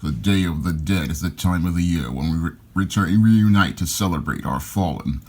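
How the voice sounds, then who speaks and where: A man voicing an ancient, brooding male fire god in a very deep, low and hushed voice. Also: moderate pace, husky. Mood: solemn.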